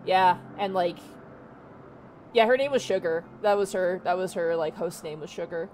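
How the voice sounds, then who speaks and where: A woman with a naturally low voice, rambling casually with lots of fillers while streaming. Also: moderate pace, a little mellow, soft background music. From Canada. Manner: rambling, many fillers and restarts. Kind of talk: casual chat on a livestream.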